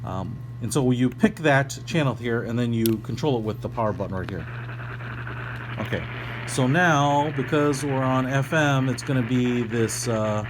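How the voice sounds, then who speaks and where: A man's voice: medium pitch, moderate pace. Casual male product reviewer, noisy humming recording. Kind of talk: casual unboxing review, ad-libbed. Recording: clearly noisy recording with hum.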